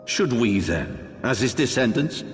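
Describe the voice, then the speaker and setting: An actor playing a solemn, proud older archbishop and father in a fantasy game, speaking in measured, formal, archaic oratory. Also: medium pitch, very animated. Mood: solemn, insistent. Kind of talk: dramatic fantasy game acting. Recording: long cavernous reverb, orchestral music behind.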